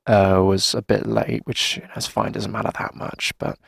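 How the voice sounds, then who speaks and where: Man acting a gravelly, low-voiced friendly café owner. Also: flat delivery, moderate pace, a bit bright, no echo, clean studio sound, exaggerated voice. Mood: weary, exasperated. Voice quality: creaky, gravelly. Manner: hesitant, chatty, sighing conversational delivery. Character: friendly, flustered male coffee shop owner venting to favorite customer. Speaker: ASMR roleplay performer. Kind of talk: casual ASMR roleplay, chatty one-sided conversation.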